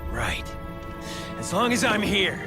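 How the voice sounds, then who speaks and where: Bitter, high-voiced ailing young heir. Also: male voice, sing-song, takes it slowly, exaggerated voice. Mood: bitter desperation. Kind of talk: video game cutscene acting. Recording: loud music over the voice.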